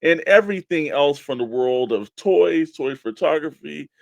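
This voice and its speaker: A man's voice: a little high, animated, moderate pace, gravelly, no echo. A man co-hosting a toy collecting radio show in casual chat, with informal, laid-back buddy banter.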